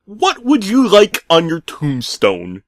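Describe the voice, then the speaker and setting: Man doing a high, over-exaggerated, menacing pizza guy. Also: wildly swooping pitch, no room sound, studio quality, exaggerated voice. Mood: drawling sarcasm. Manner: drawn-out, over-exaggerated, barking delivery. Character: cheery, menacing, drawling orange-haired pizza delivery man.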